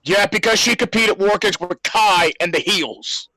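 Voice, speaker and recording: A man's voice: high voice, a little slow, pushed and bright, no echo, low-quality recording. A man co-hosting a podcast in a casual chat about wrestling. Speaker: podcast co-host.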